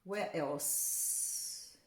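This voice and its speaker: A young woman from Rwanda talking casually to camera, chatty and repeating words for emphasis, turning passionate. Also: extremely slow. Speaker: vlogger. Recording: echoey room.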